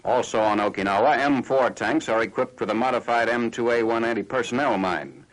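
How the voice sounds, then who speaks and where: An American man reading scripted 1940s military newsreel narration in a formal, factual, measured announcer style. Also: medium pitch. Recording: noisy 1940s newsreel film soundtrack.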